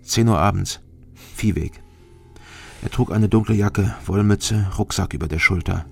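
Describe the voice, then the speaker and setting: An actor playing a weary, hard-drinking private detective who narrates a crime radio drama in terse, clipped sentences. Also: male voice, low voice, a little slow, creaky, slightly rough, faint music behind, heavily acted. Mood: brooding. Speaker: narrator and lead investigator.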